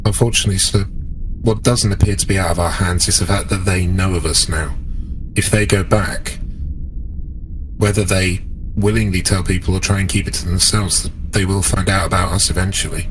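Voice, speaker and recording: A man's voice: deep voice, steady tone, normal speed, natural voice, low-quality recording. A man playing an earnest, principled starship commander in a tabletop sci-fi game, formal and deferential as he reasons aloud. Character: earnest, principled male starship commander advising his captain. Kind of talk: improvised sci-fi tabletop roleplay. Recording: faint background noise.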